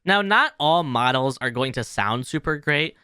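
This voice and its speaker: A man hosting a casual software tutorial. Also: medium pitch, animated, moderate pace, clean tone, no room sound, studio quality. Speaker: tutorial host.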